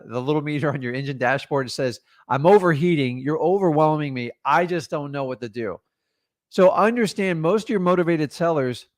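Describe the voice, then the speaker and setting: A man in his forties coaching on selling, talking to camera in a home studio with a studio mic, punchy and animated, acting out little dialogues. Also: medium pitch, no room sound. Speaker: real estate coach. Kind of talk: instructional talk to camera. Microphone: studio mic on boom arm.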